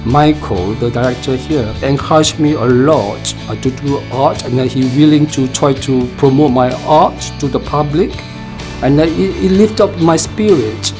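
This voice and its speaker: A middle-aged man from Malaysia, talking in a documentary interview in a halting way with many pauses and fillers. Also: medium pitch. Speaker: project member. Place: indoor art room. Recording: music bed underneath.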